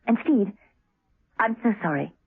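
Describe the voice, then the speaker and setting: A woman's voice: mid-range voice, animated, unhurried, vocal fry, background noise. An actress playing a concerned, eager female secret agent in a 1970s radio drama with tinny sound. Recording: tinny old radio recording.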